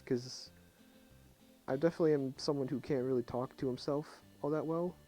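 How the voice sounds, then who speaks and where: A young man talks in a low, hushed voice, chatting casually to viewers while he plays. His tone is mellow and relaxed. Faint game music runs underneath. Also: normal speed. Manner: quiet and subdued, talking softly at night. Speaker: streamer. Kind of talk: casual live game commentary.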